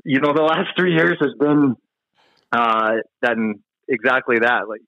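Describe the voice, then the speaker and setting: A man giving casual, halting interview answers as a hockey player. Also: mid-range voice, vocal fry, pushed and bright, no echo, lo-fi sound. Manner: hesitant, halting, frequent you-knows. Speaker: professional ice hockey player being interviewed.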